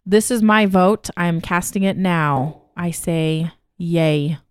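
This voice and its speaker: A woman's voice: on the low side, flat delivery, takes it slowly, clean tone, no echo, clean studio sound. A woman co-hosting a food podcast, chatting casually with a bubbly, playful manner and frequent laughter. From Hawaii. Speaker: podcast co-host. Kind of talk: casual chat.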